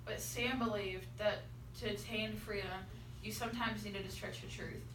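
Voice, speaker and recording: A woman's voice: medium pitch, fairly even intonation, normal speed. A young teenage girl reading scripted narration aloud for a school play, recorded in a classroom. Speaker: student narrator. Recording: echoey classroom, far from mic, noisy.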